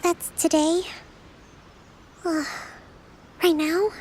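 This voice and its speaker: A woman playing a gentle, composed young island leader in an anime game, polite and measured. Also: very high voice, flat delivery, a little hiss or hum, heavily acted, poor audio. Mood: gently resigned. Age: young adult. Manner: polite, measured, soft-spoken.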